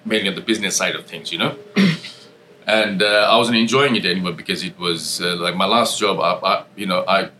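A man's voice: low-pitched, fairly quick, pushed and bright, a little hiss or hum. A man in his forties from Nepal, a restaurant owner and musician, telling his story in a loose, rambling chat full of fillers. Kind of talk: casual interview chat. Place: London.

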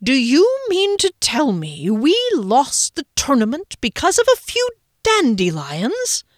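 A woman voicing a pompous, old-fashioned gentleman who talks down to his partner with exasperated briskness. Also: on the high side, big pitch swings, dry recording, studio quality, pitched above the performer's own voice.

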